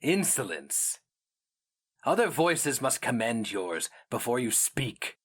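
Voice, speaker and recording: A man acts out a proud mage from a fantasy audiobook. He argues with urgent, emphatic conviction, his voice lifted well above a low narrating register and swelling on rhetorical questions. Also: very animated, takes it slowly, fairly bright tone, husky, heavily acted. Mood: indignant. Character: impassioned, proud male mage arguing heresy, urgent.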